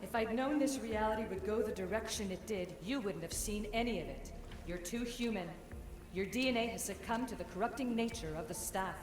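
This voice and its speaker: An actress playing a calm, grave ancient woman guide in a video game, speaking in a measured, solemn way. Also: mid-range voice, close to an everyday voice. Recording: roomy game audio with music.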